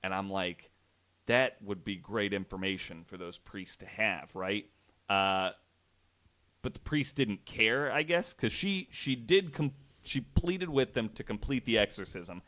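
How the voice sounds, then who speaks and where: A man's voice: lowish voice, animated, a little slow, a bit bright. A man hosting a podcast, telling a true story in a conversational way with wry, incredulous asides. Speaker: podcast host.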